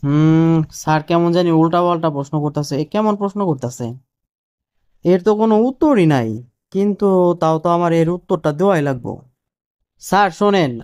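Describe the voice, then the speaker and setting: Man acting a high-voiced cheeky cartoon job candidate, Dhaka accent. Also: darkish tone, clean tone. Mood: puzzled. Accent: Bangladeshi Bengali, colloquial Dhaka. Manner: explanatory, colloquial, deferential with repeated "sir". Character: cheeky, quick-witted male job candidate. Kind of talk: comic cartoon dialogue.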